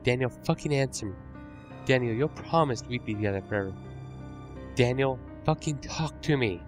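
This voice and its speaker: An unhinged, obsessive young woman stalker, as a man narrating puts her on, her voice pushed up high. She lurches from rage to pleading to sickly sweet menace. Music plays steadily underneath. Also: moderate pace, lightly acted. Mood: furious pleading. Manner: swings between rage, pleading and sugary menace.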